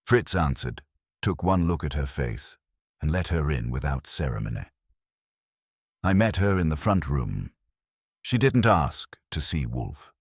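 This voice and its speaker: Very deep-voiced man narrating detective fiction. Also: slightly rough, dry recording, studio quality. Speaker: audiobook narrator. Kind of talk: scripted narration of a vintage detective story.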